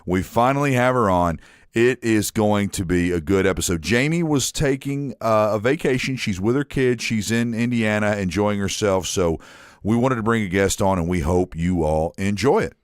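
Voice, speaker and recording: A man's voice: on the low side, moderate pace, clear, resonant tone, no room sound, clean studio sound. A young man hosting a casual podcast chat, joking and rambling as he tells stories. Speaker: podcast host.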